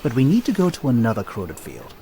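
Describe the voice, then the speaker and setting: Flamboyant, sing-song game adventurer. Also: male voice, mid-range voice, huge pitch swings, quick pace, gravelly, dark tone, slight background noise. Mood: persuasive, showy. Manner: theatrical, teasing, self-satisfied. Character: flamboyant, boastful young male adventurer and smith. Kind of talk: video game cutscene acting. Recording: music behind the voice.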